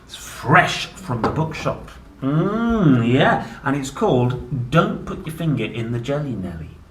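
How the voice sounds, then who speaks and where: Man, children's storybook reader, very lively pitch, unhurried pace, theatrical, playful, questioning, distant noisy recording. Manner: theatrical, exclaiming, asking the listener questions. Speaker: children's book reader and host. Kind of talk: playful storybook read-aloud for young children. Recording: roomy, distant mic, clearly noisy.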